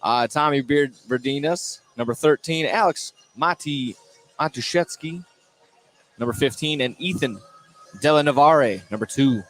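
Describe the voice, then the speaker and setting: A man announcing a high school basketball game live on radio in an upbeat, chatty way. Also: a little slow, soft background music, poor audio. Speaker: play-by-play sports announcer. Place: indoor high school gym. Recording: live gym broadcast with clear background noise.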